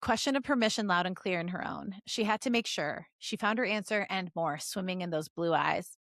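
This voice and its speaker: A woman's voice: lowish voice, fairly quick, a bit bright, dry recording. Playful woman reading aloud, close dry sound. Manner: playful, breaks off for jokey asides. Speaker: podcast host reading fan fiction aloud. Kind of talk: story reading mixed with joking banter.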